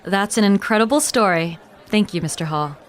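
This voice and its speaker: A woman's voice: medium pitch, normal speed, some chatter behind, close to an everyday voice. A woman playing an attentive field reporter, asking polite, probing interview questions.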